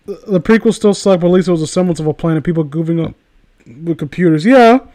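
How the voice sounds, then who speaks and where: A man's voice: fairly high pitch, quick pace, warm tone. A man in a casual conversation, rambling through exasperated, opinionated rants with plenty of swearing. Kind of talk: casual ranting chat.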